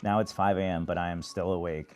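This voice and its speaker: A man from New York hosting a late-night phone livestream, giving earnest advice to viewers in a monotone way. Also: low voice, fast. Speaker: livestream host. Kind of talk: casual live chat answering viewers.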